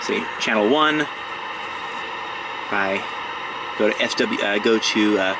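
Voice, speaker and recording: A man giving a casual, step-by-step hands-on demonstration, speaking hesitantly and haltingly. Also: lowish voice, creaky, heavy background noise. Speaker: hobbyist showing a radio install. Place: in or at a vehicle.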